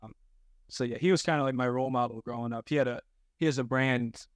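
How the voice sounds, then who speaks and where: A young man, a baseball pitcher, giving casual answers as a podcast guest. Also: steady tone, quick pace, no room sound. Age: young adult. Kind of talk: casual interview chat.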